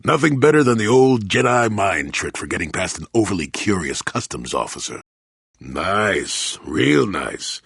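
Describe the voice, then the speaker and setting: A man playing a crotchety, wry old hermit Jedi in a video game, grumbling in a folksy, sardonic way with a gravelly, husky voice. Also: sits low, very animated, unhurried, fairly bright tone, no room sound, clean studio sound, exaggerated voice. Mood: sly, amused. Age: older. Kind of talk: video game character acting.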